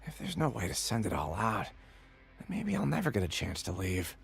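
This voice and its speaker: A man's voice: lowish voice, wildly swooping pitch, fairly quick, creaky, faint music behind, exaggerated voice. An actor playing an anxious, aggrieved salesman in a video game, flustered, wordy and theatrically indignant. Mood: nervous.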